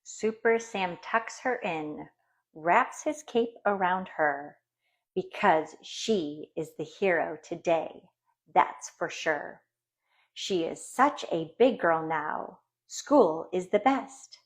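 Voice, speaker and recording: A woman reads a rhyming picture book aloud for young children. Her voice sits slightly low, and she takes her time, phrasing each line deliberately and expressively. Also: expressive. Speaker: storybook reader.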